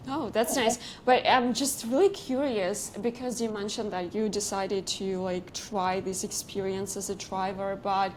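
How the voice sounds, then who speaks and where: A woman's voice: mid-range voice, steady tone, measured pace, hardly any pauses, slight background noise, poor audio. A woman interviewing a founder, asking curious questions and summing up his answers. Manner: curious, sums up the guest's points.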